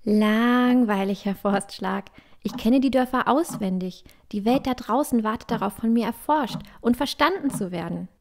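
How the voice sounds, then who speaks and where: A woman's voice: fairly high pitch, normal speed, few pauses, lightly acted. A woman playing a cheeky, adventurous, optimistic girl in a fantasy audio drama. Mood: cheeky, teasing.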